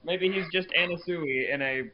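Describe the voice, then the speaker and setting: A man's voice: on the high side, moderate pace, dull audio, slight background noise. A man in casual conversation as a panelist on a group podcast. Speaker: podcast panelist, fandub crew member.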